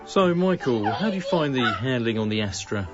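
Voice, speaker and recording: Earnest suburban car bore played by a man, very animated pitch, matey small talk, slightly dull radio sound. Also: moderate pace, over loud music, lightly acted. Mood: matey, inquisitive. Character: chatty car-keen suburban father making small talk.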